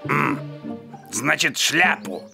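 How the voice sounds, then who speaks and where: A performer voicing a young male caterpillar in a children's cartoon, gravelly and creaky, with exaggerated courtesy and some grumbling. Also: medium pitch, very animated, drawn-out words, full character voice. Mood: curious, eager. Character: young male caterpillar, grumpy but trying to be polite. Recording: background music throughout.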